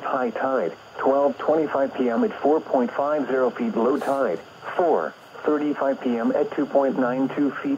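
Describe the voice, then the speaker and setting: A synthetic male announcer with an American accent reads a scripted weather bulletin over the radio in formal, impersonal, measured phrasing. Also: medium pitch, vocal fry. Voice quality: synthetic text-to-speech voice. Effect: radio broadcast. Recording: dull lo-fi radio reception, noisy.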